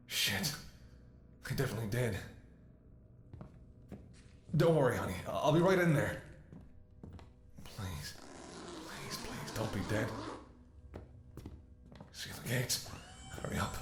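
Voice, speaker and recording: A man playing a devoted, anxious doctor in an intimate audio roleplay, speaking to his sick lover in a tender, emotional, halting way. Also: medium pitch, slight background noise. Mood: panicked. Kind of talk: intimate scripted ASMR roleplay acting. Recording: echoey room.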